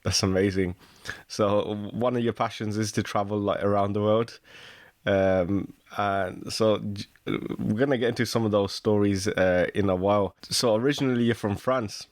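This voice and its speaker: A man hosting a travel podcast, asking questions in a relaxed way and chiming in with short replies. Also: fairly low pitch, moderate pace, no room sound. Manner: relaxed, brief encouraging replies. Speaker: podcast host. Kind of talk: casual interview hosting.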